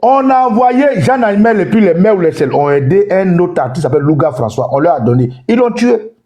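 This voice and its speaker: A man's voice: high-pitched, very animated, a little fast, warm tone. A man speaking in a heated online debate, emphatic and lecturing, repeating himself for force. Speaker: participant in an online debate room. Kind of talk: heated political talk.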